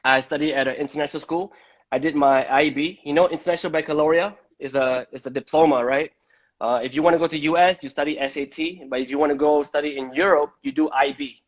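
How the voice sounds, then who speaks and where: Halting man from Malaysia, dull lo-fi audio. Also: a little high, fairly even intonation. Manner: halting, repeats words. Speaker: host of a live debate. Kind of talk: conversational live-stream interview. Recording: dull, lo-fi live-stream audio.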